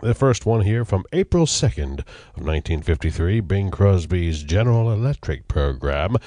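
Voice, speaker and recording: A man's voice: low-pitched, sing-song, moderate pace, warm tone, no room sound. A man hosting a radio show, introducing it in a jovial, folksy, mock-formal way to his listeners. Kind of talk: folksy scripted show introduction over music.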